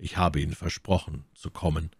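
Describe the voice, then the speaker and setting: A man reading aloud, voicing an earnest, devout young officer who speaks in a hushed, grave manner. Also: a bass voice, fairly even intonation, fairly quick, breathy edge, full character voice. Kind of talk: audiobook character reading.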